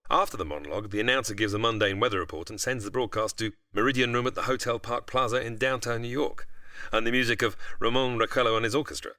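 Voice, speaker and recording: British guy narrating facts as a podcast guest, talks fast, dry close recording. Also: mid-range voice, sharp tone, dry recording. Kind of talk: scripted explanatory narration.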